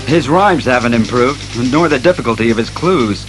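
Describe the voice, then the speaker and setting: A man playing a stern, analytical masked detective, speaking resolutely and deliberately in a superhero audio drama. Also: medium pitch, lively intonation, soft background music, lightly acted. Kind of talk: superhero radio drama acting.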